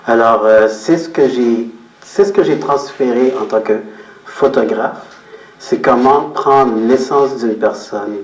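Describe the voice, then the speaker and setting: A man answering interview questions as a guest, explaining his outlook in a reflective, measured way and repeating phrases for emphasis. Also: mid-range voice. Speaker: interview guest, photographer and business owner. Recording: roomy echo, distant microphone.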